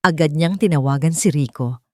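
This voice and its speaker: A woman storyteller with a fairly low voice delivers scripted drama narration. Her phrasing is deliberate and dramatic. The sound is close and dry. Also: no room sound.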